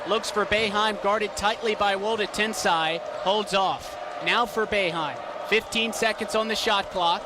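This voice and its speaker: High-voiced young male play-by-play sports announcer. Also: fairly even intonation, a little slow. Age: young adult. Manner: clipped, announcer-like phrasing. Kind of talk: live sports reporting and radio play-by-play commentary. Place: basketball arena broadcast. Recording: noisy arena, crowd behind the voice. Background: crowd noise, bouncing basketball.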